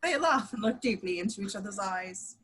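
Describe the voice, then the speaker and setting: A woman's voice: medium pitch, expressive, a little fast, a bit bright, breathy edge. A woman reading action descriptions as the narrator of a scripted table read.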